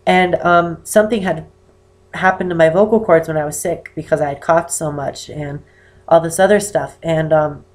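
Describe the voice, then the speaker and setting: An eighteen-year-old man with an unusually high-pitched voice and damaged vocal cords, talking casually to camera and rambling with many ums. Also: steady tone, normal speed. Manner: rambling, with many "um"s and self-corrections. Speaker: vlogger. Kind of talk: casual personal talk to camera. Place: indoor room with poor lighting.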